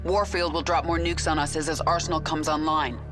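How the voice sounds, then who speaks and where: A woman's voice: deep voice, little pitch movement, fairly quick, lightly acted. An actress playing a cold, decisive female queen of an alien swarm who gives commands. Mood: commanding. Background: loud game music.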